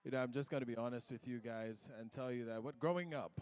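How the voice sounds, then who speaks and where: A Nigerian man works the stage as master of ceremonies, his Nigerian accent carrying lively, upbeat crowd-pumping energy. His voice sits at an ordinary middle pitch, and his talk is aimed at getting the audience going. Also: quick pace, clear, resonant tone. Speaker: event MC. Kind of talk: live stage hosting.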